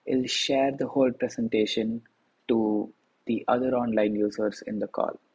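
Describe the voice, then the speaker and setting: A man walks through a software tutorial step by step, pausing on fillers as he goes. His voice is gravelly and a little husky. The tone sits dark and relaxed. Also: medium pitch, poor audio. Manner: plain step-by-step explaining with frequent fillers. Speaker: tutorial host. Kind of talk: screen-recorded software tutorial.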